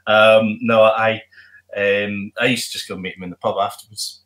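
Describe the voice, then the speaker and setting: Casting: middle-aged male musician, low voice, rambling chatty answers over webcam. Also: little pitch movement, moderate pace, fairly bright tone, poor audio. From not from Glasgow. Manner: chatty, rambling, lots of ums and restarts. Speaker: musician guest. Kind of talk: casual video call interview. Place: home room with guitars. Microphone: webcam on video call.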